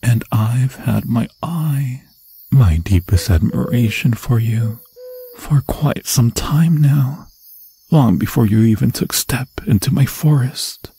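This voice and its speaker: A man voicing an obsessed undead forest demon in an ASMR fantasy roleplay, seductive and menacing, with a husky, breathy voice that often drops to a whisper and slow, theatrical, intimate phrasing. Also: mid-range voice, mellow, dry recording, heavily acted. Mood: ominous, possessive. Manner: slow, theatrical, intimate, crooning endearments in archaic formal phrasing.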